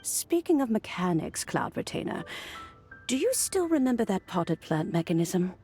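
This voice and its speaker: A woman voicing a wise, ancient and serene elderly immortal in a video game, talking in a measured, reflective storytelling way. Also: mid-range voice, very animated, warm tone, natural voice. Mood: wistful. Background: music.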